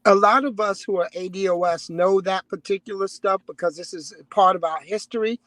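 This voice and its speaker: A man's voice: high voice, steady tone, a bit bright, dry recording. A man arguing as a guest in a heated panel debate, stopping and restarting mid-sentence and leaning on analogies. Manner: halting restarts, argues through analogies.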